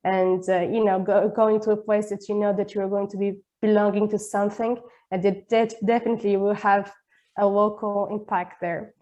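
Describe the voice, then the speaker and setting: A woman presenting a project in a webinar, speaking haltingly with repeated words. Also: medium pitch, flat delivery, dark tone, lo-fi sound. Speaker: presenter of a digital nomad project.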